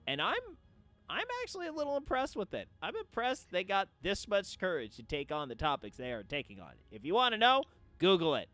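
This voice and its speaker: A male game streamer with a high, very animated tenor. He talks casually and slowly, in a playful way, in a roomy space with game music behind him. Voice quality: self-described high tenor. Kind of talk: casual live-stream chat. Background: video game music.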